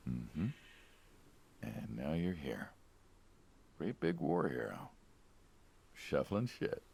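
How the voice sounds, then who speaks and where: A man playing a wry, needling mob hitman in a game, gravelly and probing, like an old friend asking questions. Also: extremely low pitch, sing-song, slow pace, darkish tone, full character voice. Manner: wry, probing, drawling sarcasm. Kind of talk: naturalistic video game dialogue.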